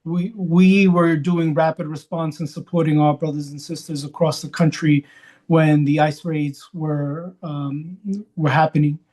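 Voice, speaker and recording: Male panelist from New York, slightly high voice, reflective, halting, unscripted organizer talk. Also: flat delivery, dark tone, low-quality recording. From Queens, New York, USA. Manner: reflective, halting, punctuated with "right?".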